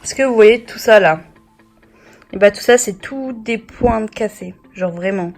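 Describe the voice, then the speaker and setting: A young woman with a hoarse voice, chatting casually to camera in a beauty vlog, full of slang and swearing. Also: mid-range voice, unhurried, a little mellow, faint music behind. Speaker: beauty vlogger.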